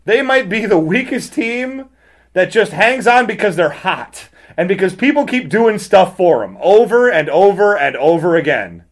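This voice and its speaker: Man, high-pitched voice, podcast host, casual ranting commentary, sarcastic, hyperbolic, mock-outraged. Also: big pitch swings, normal speed.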